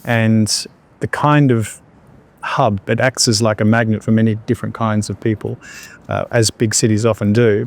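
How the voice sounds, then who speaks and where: A man, answering interview questions in a reflective, unhurried way with pauses and fillers. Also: on the low side, a little mellow. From Australia. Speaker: entrepreneur guest.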